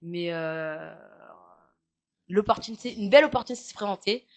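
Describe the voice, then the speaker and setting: A woman's voice: medium pitch, animated, normal speed, a little mellow. A young woman with a Parisian French accent, a massage therapist chatting frankly in an interview, with many fillers and jokes. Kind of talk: casual interview chat.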